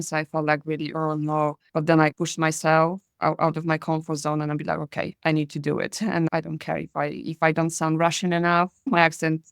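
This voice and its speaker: A woman's voice: deep voice, creaky, clear, resonant tone, dry recording, studio quality. A woman from Poland, hosting a podcast interview and asking long, chatty questions. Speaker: podcast host. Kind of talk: conversational interview.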